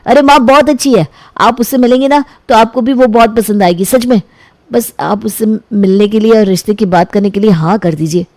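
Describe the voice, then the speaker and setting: A woman's voice: a little high, brisk, a little mellow, no echo, close to an everyday voice. A woman voicing an earnest young man eager to marry, coaxing and reassuring in a storybook tale. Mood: happy, eager. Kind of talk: animated storybook dialogue.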